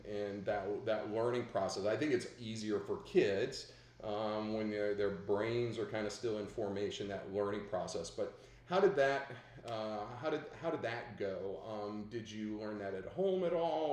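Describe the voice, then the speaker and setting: A man's voice: fairly low pitch, darkish tone, echoey room. A man hosting a video call interview, asking long rambling questions with many restarts. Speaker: host.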